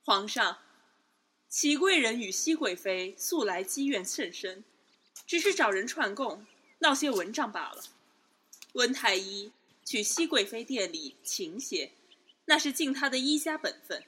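A woman's voice: a little high, animated, a little slow, bright, forceful tone, husky, heavily acted. A woman playing a composed, principled imperial concubine in a Chinese period audio drama, firm and articulate. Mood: firm defence.

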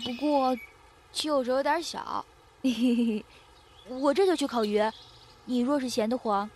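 A woman playing a friendly, modest boy from the woods in a Chinese costume radio drama, boyish and eager. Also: high voice. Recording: faint background noise, outdoor nature ambience.

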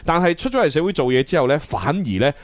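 A young man with a Cantonese accent, hosting a casual radio chat show in an animated, exclamatory way with frequent chuckles. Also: fairly high pitch, quick pace, no room sound. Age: young adult.

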